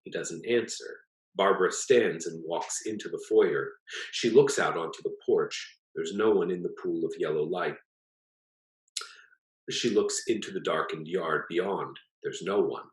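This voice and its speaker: A man with a very low voice reads a piece of literary fiction aloud. His delivery is measured and plain, like an author presenting his own story, and the room around him echoes noticeably. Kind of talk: literary story reading, scripted narration. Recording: echoey, roomy sound.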